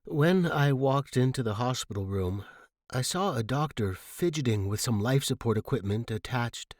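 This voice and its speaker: A man's voice: mid-range voice, animated, moderate pace, darkish tone, dry recording, clean studio sound, natural voice. A man playing an earnest, self-assured saxophonist in a theatrical, emphatic first-person story monologue. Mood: tense recounting. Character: earnest, self-assured male saxophonist recalling his mentor. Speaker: story narrator.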